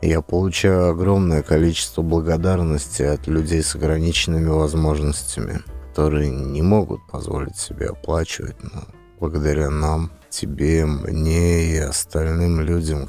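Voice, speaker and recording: Male audiobook narrator: low, gravelly, reading scripted prose. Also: normal speed, mellow, faint music behind. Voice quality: deep, gravelly.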